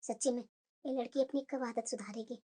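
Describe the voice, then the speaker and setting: Woman playing a patient, kind mother-in-law in a cartoon drama, mild scolding, voice pitched up high. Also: a little fast. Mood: gentle. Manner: gentle, scolding softly. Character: kindly older mother-in-law, patient peacemaker. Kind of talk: animated family drama voice acting.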